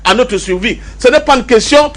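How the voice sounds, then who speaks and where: A man's voice: extremely high pitch, animated, normal speed, fairly bright tone. A man from Cameroon with a Cameroonian accent giving political commentary as a debate panelist, emphatic, repeating key phrases and asking rhetorical questions. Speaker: panelist in a pan-African debate.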